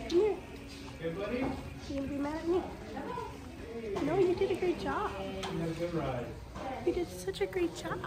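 A young woman vlogging to camera at an outdoor petting farm, gushing excitedly and chatting to the animals, filmed on a phone held at arm's length. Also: very high-pitched, moderate pace, pauses often. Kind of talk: casual vlog chat to camera. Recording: noisy outdoor phone recording.

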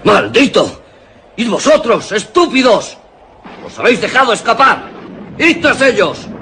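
A man's voice: extremely high pitch, very animated, measured pace, bright, forceful tone, heavily acted. A man playing an imperious, furious masked villain in a radio horror drama, barking threats in Castilian Spanish. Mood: furious, commanding. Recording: noisy radio drama with sound effects.

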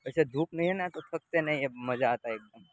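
A man's voice: a little high, fast, no echo. Young man vlogging outdoors. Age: young adult. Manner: chatty, addresses viewers directly. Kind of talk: casual vlog narration. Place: outdoor cricket ground. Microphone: handheld camera at arm's length.

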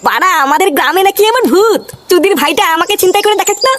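A woman's voice: extremely high pitch, expressive, fast talker, a bit bright. A woman voicing a brash, foul-mouthed Bengali village troublemaker in a comic cartoon, with cheeky, crude banter. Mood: brash, indignant. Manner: brash, cheeky, swearing constantly.

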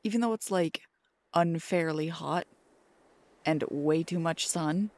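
Slow-talking, playful girlfriend acted by a woman. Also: low-pitched, darkish tone, no echo. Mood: relaxed. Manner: teasing, mock-complaining, drawn-out emphasis. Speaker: ASMR roleplay creator. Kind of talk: ASMR girlfriend roleplay, intimate casual chat.

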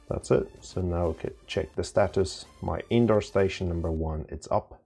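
A man presenting an informal, halting step-by-step product walkthrough as a tutorial host. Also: deep voice, gravelly, mellow, slightly rough. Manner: halting, instructional, addresses viewers as guys.

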